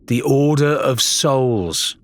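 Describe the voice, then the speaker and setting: A man's voice: mid-range voice, animated, takes it slowly, no echo, voice pushed up. A man voicing a bold, hot-headed female pirate in an audiobook, impatient and challenging. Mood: dismissive.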